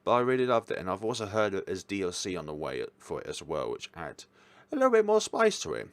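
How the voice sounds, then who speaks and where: Man, lowish voice, casual review commentary, rambling, hesitant, enthusiastic. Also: big pitch swings, fast. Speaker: host reviewing visual novels. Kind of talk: casual chatty ranking commentary.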